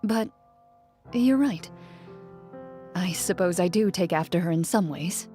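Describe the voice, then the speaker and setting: An actress playing a stoic young woman champion duelist in a video game, with a measured, reserved, understated delivery. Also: fairly low pitch, dark tone, faint music behind, lightly acted. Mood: calm, reflective. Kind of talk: scripted video game dialogue.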